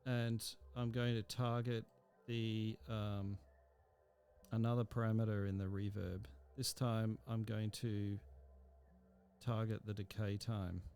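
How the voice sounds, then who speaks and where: A man teaching a music software tutorial, thinking aloud step by step with frequent ums. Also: lowish voice, level tone, slow. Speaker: music technology instructor. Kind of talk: screen-recorded software tutorial.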